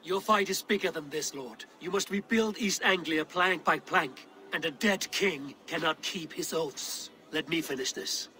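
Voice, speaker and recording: A man playing a steadfast, blunt Viking warrior in a video game, speaking in solemn, measured, formal phrases. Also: on the high side, bright, forceful tone. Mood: resolute, proud. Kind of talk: dramatic video game acting.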